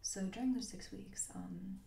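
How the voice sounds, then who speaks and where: A woman playing a grumpy, blunt HR employee in a soft-spoken ASMR roleplay, deadpan and offhand, with hesitant, grumbling phrasing. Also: mid-range voice, unhurried, creaky, roomy sound, far from the mic, a little hiss or hum. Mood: grumbling, gossipy. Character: grumpy, blunt female HR employee doing new-hire paperwork.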